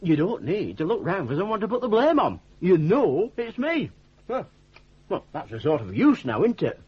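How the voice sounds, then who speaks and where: Bumbling Northern English lad, high husky voice. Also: male voice, huge pitch swings, moderate pace, exaggerated voice. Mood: cheerfully self-deprecating. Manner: rambling, artless, chuckling, homely dialect. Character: cheerful, bumbling, self-deprecating idle young man, family scapegoat. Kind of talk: radio drama acting. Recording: dull 1980s radio drama recording.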